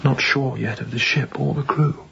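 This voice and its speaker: An actor playing a young, newly appointed sea captain, guarded and uneasy, speaking in clipped, cautious radio drama style. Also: male voice, steady tone, normal speed, vocal fry, bright, forceful tone, muffled recording. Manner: low-voiced, clipped, cautious. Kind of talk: restrained radio drama acting.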